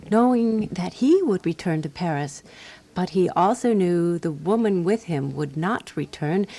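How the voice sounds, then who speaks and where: A woman acting in a radio drama as a skeptical, practical companion to a detective, speaking in a dry, measured, matter-of-fact way. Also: mid-range voice, a little mellow.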